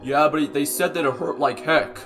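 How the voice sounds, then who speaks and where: A man's voice: on the high side, normal speed, soft background music, voice pushed down. A man voicing an injured, long-suffering animatronic bear in an amateur cartoon skit, whiny and dramatic. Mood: wary. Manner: whiny, dramatic, prone to yelling.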